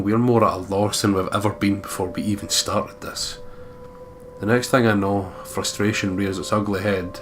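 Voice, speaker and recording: A man's voice: low-pitched, noisy background. A man reading a horror visual novel aloud as a dramatic, brooding first-person narrator. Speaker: let's player reading the game aloud.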